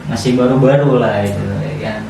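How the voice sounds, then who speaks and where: A man's voice: medium pitch, fairly quick, warm tone. A young man with a Jakarta-area Indonesian accent co-hosts a podcast in a casual, slangy, conversational way. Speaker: podcast co-host. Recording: echoey room, distant mic, faint noise.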